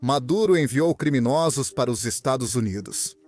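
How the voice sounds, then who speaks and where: A young man from Venezuela, a guest in an interview, telling his story in an earnest, animated way. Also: medium pitch, moderate pace, soft background music. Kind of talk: interview answers, personal story.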